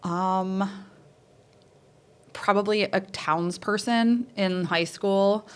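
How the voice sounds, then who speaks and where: Chatty woman with a lower voice. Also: extremely slow, clean tone. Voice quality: says she has a lower voice. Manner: lively, chatty, many fillers and restarts. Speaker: theater professor, guest. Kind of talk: casual interview storytelling.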